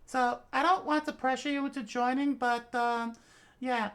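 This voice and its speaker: A woman voicing an anxious, gentle young woman in a much higher voice. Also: fairly even intonation, moderate pace.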